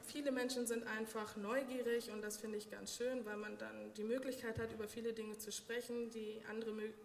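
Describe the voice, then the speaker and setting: A woman's voice: fairly high pitch, level tone, brisk, gravelly. A woman from Germany, a native German speaker, answering as an interview guest in a casual, personal way with wry, self-deprecating humour. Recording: echoey hall reverb, faint noise.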